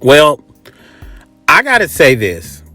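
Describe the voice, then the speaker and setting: American man, animated, blunt monologue over music. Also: a little high, slow pace, no room sound. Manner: profane, blunt, emphatic, repeats phrases for effect. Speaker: host. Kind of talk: casual opinionated monologue. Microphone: close microphone.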